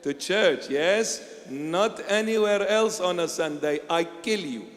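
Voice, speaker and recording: A bishop from Iraq, preaching a sermon dramatically, swinging from loud exclamations to hushed lines. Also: male voice, high-pitched, slow, big-room sound.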